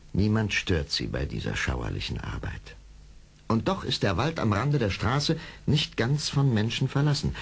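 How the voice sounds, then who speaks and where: A man's voice: on the low side, husky. A man giving dramatic scripted narration for an adventure audio drama.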